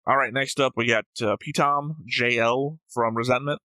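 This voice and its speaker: A man's voice: mid-range voice, fairly even intonation, normal speed, bright, forceful tone, dry recording. A man hosting a reaction show in casual discussion, talking in an argumentative, rambling way and often correcting himself. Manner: argumentative, digressive, self-correcting. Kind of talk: casual discussion, reading out viewer comments.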